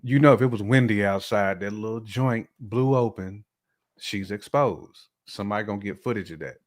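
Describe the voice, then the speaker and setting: American guy w a low voice chatting on a podcast, slangy, repeats stuff to drive the point. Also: moderate pace. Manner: colloquial, slangy, emphatic repetition. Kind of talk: casual podcast conversation.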